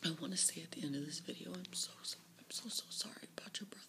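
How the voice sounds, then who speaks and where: A woman whispering into her camera for a personal video diary, halting and repeating her words. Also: lowish voice, vocal fry. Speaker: vlogger. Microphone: camera facing her.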